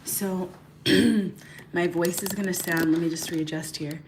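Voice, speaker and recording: A woman in her thirties, hoarse and raspy from losing her voice, chatting casually to livestream viewers during a nail tutorial and greeting them by name. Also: lowish voice, fairly even intonation, measured pace, slight background noise. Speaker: beauty livestream host.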